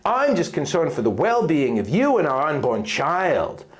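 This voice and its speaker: Guy doing a hot-tempered protective hero husband, pretty high voice, super animated, melodramatic. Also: moderate pace. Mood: tender, worried. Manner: melodramatic, emphatic.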